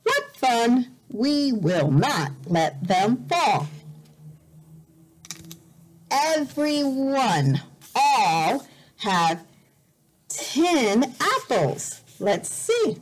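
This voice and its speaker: A middle-aged woman teacher reads a picture book aloud to young learners, explaining simply, deliberately and expressively, repeating words. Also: fairly high pitch, very animated. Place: indoor room at home.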